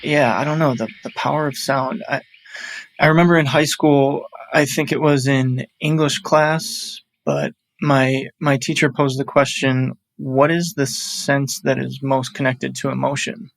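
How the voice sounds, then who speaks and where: A man's voice: medium pitch, level tone, measured pace, creaky. A man speaking as a guest on a podcast, musing thoughtfully in casual conversation. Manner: reflective, musing.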